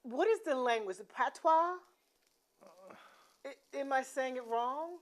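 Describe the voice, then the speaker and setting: A woman's voice: high voice, lively intonation, a little slow. A woman hosting a cooking show, chatting playfully with her guest in a studio kitchen. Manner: chatty and playful, many mm-hmms. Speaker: talk show host. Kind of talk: casual chat on a cooking show.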